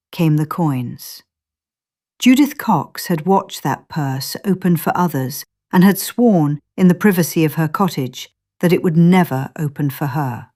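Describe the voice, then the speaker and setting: Low-pitched woman storyteller, slow and measured. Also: darkish tone. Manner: measured, literary storytelling delivery. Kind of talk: scripted story narration.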